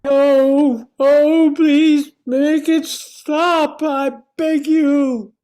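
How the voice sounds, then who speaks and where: Tormented famous writer acted by a woman, slow, halting, pleading, soft dark tone, radio play. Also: high voice, flat delivery. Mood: anguished pleading. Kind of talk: radio drama acting.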